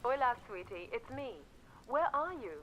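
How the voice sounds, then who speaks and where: A woman voicing a caring, quick-witted hacker friend in a game, affectionate and wry. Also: high-pitched, animated, a little hiss or hum, low-quality recording. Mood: affectionate, businesslike. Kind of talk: game voice acting.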